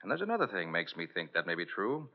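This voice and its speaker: Commanding radio detective: low voice, very animated delivery, dull vintage recording. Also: male voice, full character voice. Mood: inquisitive. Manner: brisk, inquisitive, authoritative. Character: brisk, commanding male private detective. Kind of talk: 1940s radio drama acting.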